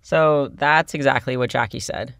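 A man co-hosting a podcast, reading scripted narration in a measured, explanatory way and chatting with co-hosts. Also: mid-range voice, creaky, a bit bright, dry recording, studio quality. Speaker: podcast co-host. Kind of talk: scripted narration mixed with casual chat.

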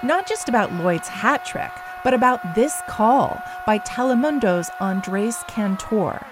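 A woman hosting a radio show, reading a scripted introduction and asking interview questions in a measured way. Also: fairly high pitch, animated, noisy background.

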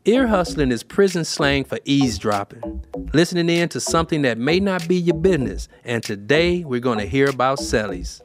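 A man's voice: medium pitch, expressive, moderate pace. A man hosting a podcast with conversational, explanatory narration. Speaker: podcast host. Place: prison. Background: music.

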